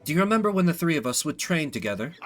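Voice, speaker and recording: Man voicing a brisk, gracious game royal. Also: a little high, big pitch swings, fairly bright tone, faint music behind. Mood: fond, nostalgic. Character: gracious, nostalgic royal ruler, warmly formal.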